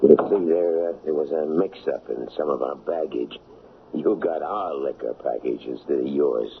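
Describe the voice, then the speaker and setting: An actor playing a cocky, scheming, menacing smuggler in a radio drama, talking in brash street slang. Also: male voice, very deep voice, moderate pace, creaky. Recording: muffled, lightly noisy old radio broadcast.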